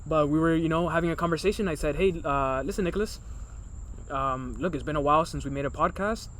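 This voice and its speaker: A man's voice: on the high side, fast, a bit bright, slight background noise. A man in his early twenties from Miami, co-hosting a podcast in loose, rambling casual chat that keeps calling out to the viewers. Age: early 20s. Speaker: podcast co-host.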